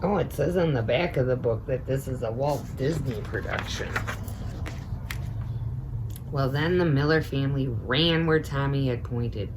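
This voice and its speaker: A woman's voice: rumbling low, unhurried. A woman reading a children's picture book aloud, expressively, adding informal comments of her own as she goes. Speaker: storyteller, teaching assistant. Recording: noticeable background noise.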